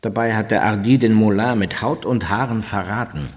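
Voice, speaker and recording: An actor in a radio documentary drama playing an unrepentant German wartime officer who recounts events in a precise, matter-of-fact way. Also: male voice, lowish voice, moderate pace, husky.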